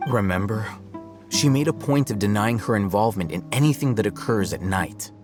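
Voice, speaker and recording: A man voicing a calm, aloof young male scholar in a video game, measured and dry. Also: lowish voice, lightly acted. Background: music.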